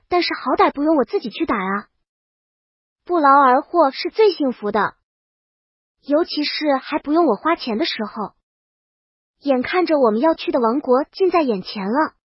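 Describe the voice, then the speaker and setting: A woman's voice: sits high, no room sound, lo-fi sound. A woman reading a comic first-person story as a scripted audiobook narration.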